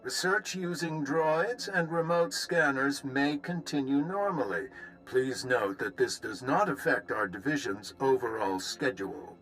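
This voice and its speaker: A man's voice: mid-range voice, lively intonation, unhurried, a bit bright, faint music behind, low-quality recording. An actor playing an officious male company director reading formal, bureaucratic staff announcements in a game. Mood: officious, smooth. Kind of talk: scripted public announcement.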